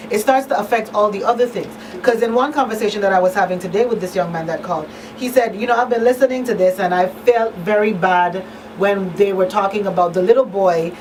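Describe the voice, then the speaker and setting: A woman's voice: medium pitch, darkish tone. A woman hosting a radio talk show in a conversational way, emphatic and repeating key phrases. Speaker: radio talk show host and coalition advocate. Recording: distant mic, faint background noise.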